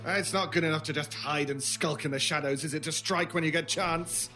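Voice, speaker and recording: An actor playing a world-weary villain in an audio horror drama, muttering with contempt at human beings. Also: male voice, fairly high pitch, steady tone, brisk. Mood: scornful. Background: loud dramatic music.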